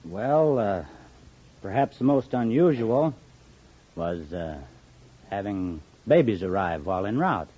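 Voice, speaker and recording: A man's voice: fairly low pitch, sing-song, takes it slowly, long pauses, dark tone, breathy edge. A man, a contestant on a 1950s radio show, answering literally in a hesitant, matter-of-fact way. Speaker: train conductor, quiz show contestant. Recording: muffled 1950 radio recording, faint noise.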